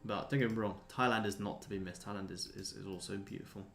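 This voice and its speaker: A man's voice: fairly low pitch, fairly even intonation, quick pace, gravelly, faint music behind. A man in his twenties chatting casually as a livestream host answering viewers, laid-back, blokey and sweary. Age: 20s. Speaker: livestream host, day trader.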